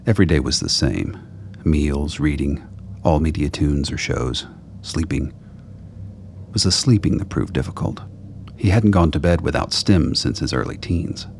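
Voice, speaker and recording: A man with a very deep, gravelly voice reading scripted narration for a radio play. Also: a little slow, darkish tone, a little hiss or hum.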